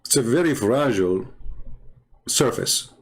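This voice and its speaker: This is a man with a medium-pitched voice and a non-native English accent, as English is his second language. He delivers improvised commentary in the manner of a debating host. His phrasing is halting, and he repeats key words to press each point. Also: animated. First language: not English. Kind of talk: improvised commentary over visuals.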